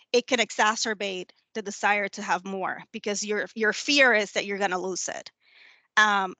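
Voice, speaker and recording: A woman's voice: medium pitch, sharp tone, dry recording. A woman lawyer giving an informal talk on tenant rights, with frequent ums and restarts. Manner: informal, many fillers and restarts. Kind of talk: educational presentation.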